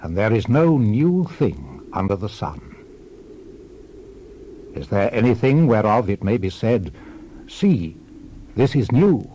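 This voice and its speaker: An actor playing a world-weary, reflective old king and preacher in a dramatized scripture reading, solemn and measured. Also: lowish voice, sing-song, warm tone, highs cut off, a little hiss or hum.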